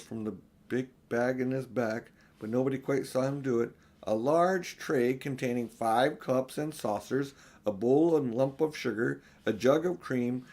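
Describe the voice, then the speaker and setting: An older man narrating a children's audiobook expressively, with unhurried, deliberate phrasing. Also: medium pitch, a little mellow. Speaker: audiobook reader.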